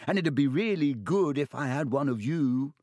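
Man voicing a breathless young schoolboy, high and stammering, gushing fan, dry close sound. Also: lively intonation, moderate pace, dry recording. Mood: eager. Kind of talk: audiobook character voice.

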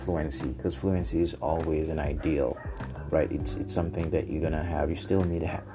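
Male podcast host, Haitian accent, very deep and monotone, hesitant unscripted delivery with repeated phrases, over background music. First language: Haitian Creole. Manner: halting, searching for words, repeating phrases. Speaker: podcast host and language learner. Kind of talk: unscripted solo podcast talk.

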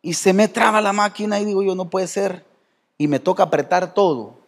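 A Salvadoran pastor preaching to his church in a high voice. He talks conversationally and quizzes listeners by name. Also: normal speed, gravelly. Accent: Salvadoran Spanish. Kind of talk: sermon. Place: church hall.

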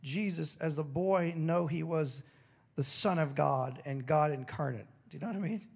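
Man preaching, high voice, gravelly and hoarse, emphatic with casual asides. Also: moderate pace. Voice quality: gravelly, throaty. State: hoarse after singing. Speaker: pastor. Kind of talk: sermon.

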